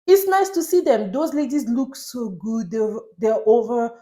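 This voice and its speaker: A woman's voice: fairly high pitch, a little slow, mellow. A woman from Paris with a French accent, speaking English as a second language, gives a casual, chatty and enthusiastic recap as a video host. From Paris, France. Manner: chatty, enthusiastic fan talk. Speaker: YouTube host reviewing reality TV.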